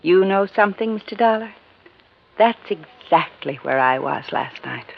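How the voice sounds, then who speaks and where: A woman's voice: mid-range voice, lively intonation, moderate pace, gravelly. An actor, a man or woman by voice alone unclear, is not the case here: a woman playing a cool, defiant widow in a 1950s radio drama, icy and precise. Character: haughty, cool, defiant widow under suspicion. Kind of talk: 1950s American radio detective drama acting. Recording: muffled, noisy 1950s radio recording.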